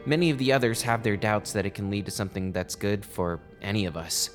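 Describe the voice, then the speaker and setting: A man's voice: fairly low pitch, brisk. A man presenting an audio drama in a conversational way, like someone sharing a personal journal. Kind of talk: first-person journal narration and show announcement. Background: faint music.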